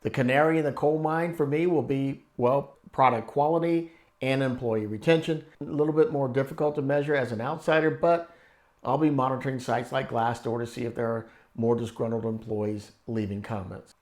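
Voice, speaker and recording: A man hosting an investing channel, reading scripted analysis in a measured, explanatory way with conversational asides. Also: mid-range voice. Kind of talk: scripted stock analysis narration.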